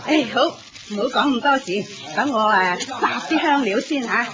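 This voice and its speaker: An actress playing an effusive, chatty temple devotee in a Cantonese radio drama, gushing and repeating herself in a Hong Kong accent. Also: female voice, on the high side, expressive, moderate pace, close to an everyday voice. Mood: awestruck. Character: effusive, chatty, awestruck female temple worshipper. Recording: dull lo-fi recording with crowd bustle.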